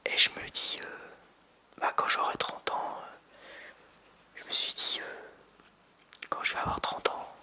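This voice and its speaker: Man in his thirties for ASMR, close-mic whisper, crisp and sharp, halting restarts. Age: 30s. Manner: soft whisper with hesitant restarts. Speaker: ASMR creator. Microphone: close binaural mic with hand sounds.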